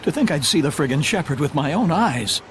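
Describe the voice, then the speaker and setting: A man's voice: on the high side, big pitch swings, a little fast, a little hiss or hum. A man voicing a sceptical soldier of the guard in a video game, blunt and teasing. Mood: wry, amazed. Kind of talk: English game voice acting.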